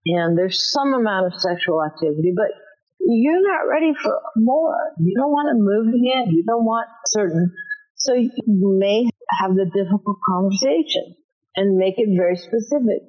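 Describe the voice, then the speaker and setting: A woman teaching self-defense in an interview, emphatic with a booming voice, often acting out example lines. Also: expressive, normal speed, muffled recording. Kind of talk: instructive interview talk.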